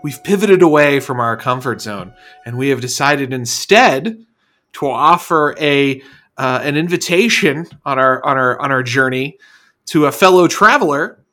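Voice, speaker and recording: A man co-hosting a casual podcast, talking haltingly with many restarts and fillers. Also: mid-range voice, lively intonation, pushed and bright, soft background music. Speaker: podcast co-host.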